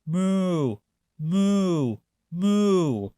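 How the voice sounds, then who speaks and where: A storybook reader voicing excitable farmyard animals with loud little cries, for children. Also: mid-range voice, very slow, smooth, no echo, studio quality, pitched above the performer's own voice. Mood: excited, noisy.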